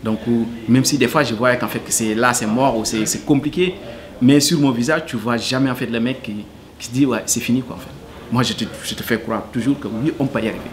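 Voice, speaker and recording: A man's voice: medium pitch, a little fast, background noise. A man from Senegal, answering interview questions earnestly and hesitantly, full of fillers. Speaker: cook, interviewee.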